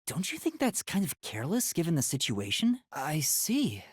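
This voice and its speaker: An actor playing an earnest, timid young detective trainee in a video game, light and boyish, polite and hesitant. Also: male voice, on the high side, huge pitch swings, normal speed, slightly rough, no echo, clean studio sound, exaggerated voice. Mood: thoughtful, earnest. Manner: earnest, hesitant, polite, stammers when flustered. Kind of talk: video game voice acting, English dub.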